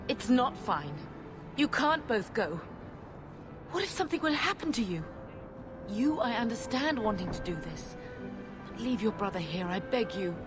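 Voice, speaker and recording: A woman's voice: on the high side, big pitch swings, moderate pace, pauses often. An actress playing an anxious, loving older mother in a video game, pleading earnestly. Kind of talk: video game cutscene acting. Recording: loud music behind dialogue.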